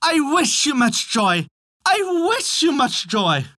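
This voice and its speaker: A storybook narrator voicing a simple-minded little boy who bawls out phrases in a loud, repetitive singsong. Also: high-pitched, sing-song, slow, no echo, studio quality, pitched above the performer's own voice, full character voice. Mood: loud bawling. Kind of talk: storybook folk tale character.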